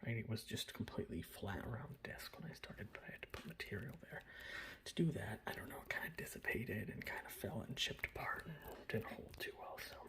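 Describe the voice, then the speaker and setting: A man speaking in a breathy whisper, presenting his hobby in a casual, rambling ASMR style. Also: brisk. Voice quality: breathy whisper with soft low speech. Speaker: hobbyist miniature painter presenting his collection. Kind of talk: whispered ASMR show-and-tell.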